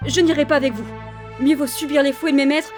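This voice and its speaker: A high, timid female voice from an actress for an enslaved young woman in an ancient Greek adventure drama. She is hesitant and apologetic, deferring to those above her, over a music bed. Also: steady tone, quick pace, natural voice. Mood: alarmed, pleading. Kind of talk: French audio drama acting.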